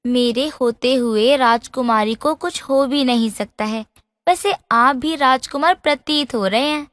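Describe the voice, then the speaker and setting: महिला के अभिनय में राजकुमारी की बेबाक सहेली, धीमी बोली. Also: female voice, sits high, measured pace, a bit bright, dry recording, long reverb tail. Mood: assertive. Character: princess's young female friend, loyal and forthright.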